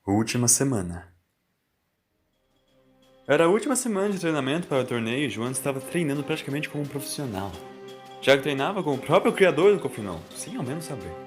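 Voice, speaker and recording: Narrador brasileiro de audioconto, com música. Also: male voice, mid-range voice, very animated, fast, soft background music. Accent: Brazilian. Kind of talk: scripted audio story narration.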